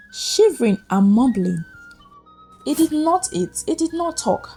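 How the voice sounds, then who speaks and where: A woman narrating a scripted folktale as a storyteller, with suspenseful, deliberate phrasing. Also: high-pitched, darkish tone, low-quality recording. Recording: music behind the voice. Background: background music.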